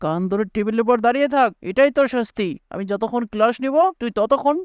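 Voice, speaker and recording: A woman's voice: on the high side, fast talker, clean tone, no echo, natural voice. Cartoon madrasa master, woman performer, strict and scolding, dry close recording. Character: stern, scolding male religious schoolteacher. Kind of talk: cartoon character acting.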